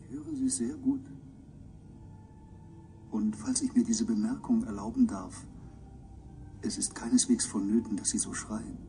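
Male actor as courteous alien magister for radio play. Very dark, low voice, ornate politeness, slightly distant. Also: fairly even intonation, soft background music, lightly acted. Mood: courteous, wry. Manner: ceremonious, elaborately polite, measured. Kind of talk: German radio drama acting.

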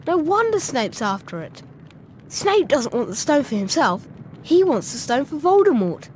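A woman's voice: high voice, big pitch swings, normal speed, darkish tone, a little hiss or hum. A woman playing an earnest, loyal young schoolboy wizard in a video game. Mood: grave.

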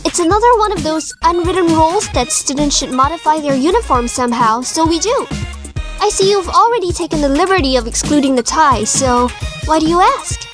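Bubbly schoolgirl voiced by a woman, friendly, perky, extremely high voice, visual novel, faint music. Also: animated, a little fast. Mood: cheerful. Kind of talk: visual novel character acting.

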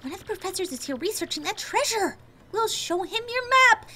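A woman voicing a perky, excitable young female Goomba student in a video game, talking in sassy valley-girl slang. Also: extremely high pitch, brisk, a little hiss or hum, exaggerated voice. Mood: excited. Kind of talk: video game dialogue voice acting. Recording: game music behind the voice.

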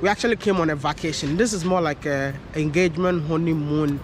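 A man's voice: fairly high pitch, brisk. Upbeat young man vlogging outdoors. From Ghana. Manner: upbeat, laughs often, talks straight to camera. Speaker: travel vlogger. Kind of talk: casual vlog chat. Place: outdoors at a seaside resort. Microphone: handheld camera at arm's length. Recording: background music. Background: music.

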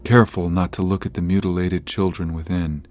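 A grave, low-pitched actor as a male narrator tells a horror tale for an audio drama. His delivery is measured and ominous, with music playing clearly behind him. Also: level tone, a little mellow, close to an everyday voice.

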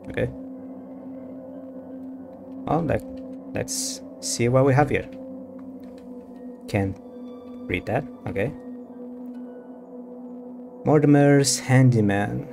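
A man's voice: medium pitch, slow pace, gravelly, long pauses. A man streaming a game with casual, off-the-cuff commentary. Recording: game music behind the voice.

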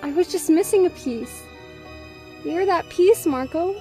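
A very high-pitched cartoon teenage princess. She is intensely emotional and earnest, a magical girl in a fan comic dub. Music plays behind her. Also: unhurried, warm tone. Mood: tender, grateful.

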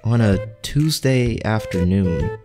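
Slow, expressive teenage boy superhero played by a man. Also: fairly low pitch, over music. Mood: puzzled, dry. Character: cocky but lovesick teenage boy cat-themed superhero. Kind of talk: animated-style comic dub acting.

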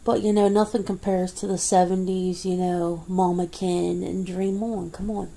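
Woman ASMR creator, casual one-sided home chat, rambling and laughing, husky mellow tone. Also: lowish voice, level tone, moderate pace, rough recording. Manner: rambling, chatty, laughs often, many fillers. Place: indoors at home.

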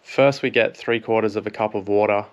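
A man in his thirties with an Australian accent, a fishing vlogger calmly explaining how to prepare fish in a voice-over. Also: on the low side, brisk, dull audio. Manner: relaxed, plain explaining. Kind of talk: instructional cooking voice-over.

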